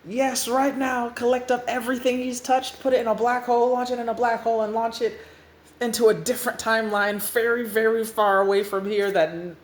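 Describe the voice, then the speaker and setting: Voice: medium pitch, measured pace, darkish tone, close to an everyday voice. A narrator voicing an exasperated, scornful commander who rants and stammers melodramatically in a comic story. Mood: panicked, commanding. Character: exasperated, scornful, panicky commander of a doomed universe. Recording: echoey room, faint noise.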